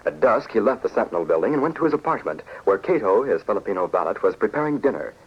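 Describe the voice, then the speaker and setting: A man's voice: lowish voice, very animated, fast, husky, full character voice. A man narrating a scripted adventure serial in 1940s radio style. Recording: muffled, faintly noisy 1940s radio recording.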